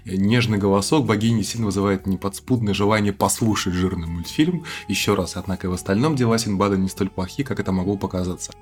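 Really low voiced guy reading a review out loud on a podcast, fast, trips up a little. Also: faint music behind. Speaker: podcast co-host. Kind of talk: reading a written review aloud.

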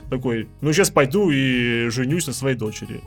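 A man of average pitch chats as a podcast co-host. His talk is casual film discussion. There is music behind him. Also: lively intonation, normal speed.

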